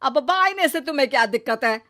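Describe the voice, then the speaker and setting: A woman voicing a stern, sharp-tongued middle-aged mother-in-law in an animated moral story, sarcastic and easily offended. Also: sits high, brisk. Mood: curt.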